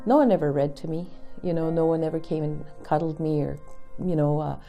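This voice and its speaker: Low, mellow older woman, reflective. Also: brisk, vocal fry, faint music behind. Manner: reflective, plain. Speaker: residential school survivor. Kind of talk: interview testimony.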